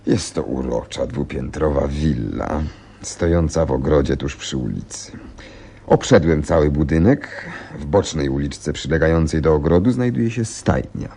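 An actor playing a shrewd, self-assured gentleman detective, precise and theatrical, in a radio play. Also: rumbling low, animated, moderate pace, vocal fry, slightly rough, heavily acted. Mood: pleased, animated. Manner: precise, inquisitive, theatrical storytelling.

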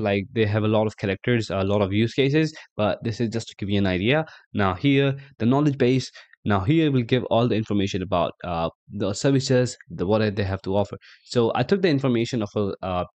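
A young man hosting a casual software tutorial, repeating words and checking in with "right?" as he talks from a small room. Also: lowish voice. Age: young adult. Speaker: tutorial host. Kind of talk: screen-share software tutorial.

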